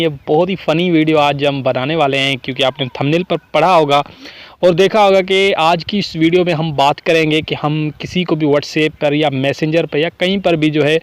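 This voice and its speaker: Male tutorial host, pitched a bit high, close dry sound, casual explanatory delivery that repeats itself. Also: smooth, dry recording. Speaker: host of a phone tips video channel. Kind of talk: casual app tutorial to camera and screen.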